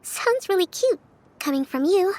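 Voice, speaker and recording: An actress voicing a composed and gracious young female strategist with a gentle manner, in game voice acting. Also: very high-pitched, lively intonation, moderate pace, a little hiss or hum. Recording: game audio with music behind.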